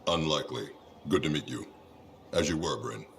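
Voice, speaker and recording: A man's voice: very deep voice, moderate pace, fairly bright tone, a little hiss or hum. A man playing a stern, condescending military commander in a video game, stiff and disapproving. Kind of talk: video game dialogue acting.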